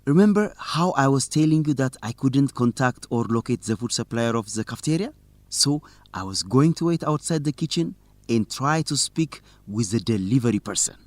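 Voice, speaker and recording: An actor playing a principled, stubborn young student journalist in an educational radio drama, speaking expressively and clearly. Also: male voice, mid-range voice, animated, moderate pace, no echo, close to an everyday voice. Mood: pleased, explaining.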